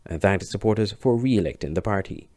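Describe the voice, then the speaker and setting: A man's voice: on the low side, animated, a little fast, darkish tone, no echo, clean studio sound. A man with a Caribbean accent reading a scripted news report as a reporter.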